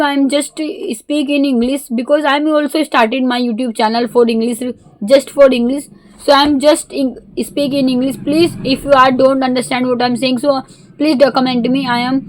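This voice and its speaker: A woman with an Indian accent, a Hindi native speaker, talking casually in halting learner English mixed with Hindi. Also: high-pitched, fairly even intonation, a little hiss or hum, poor audio. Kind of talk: casual vlog.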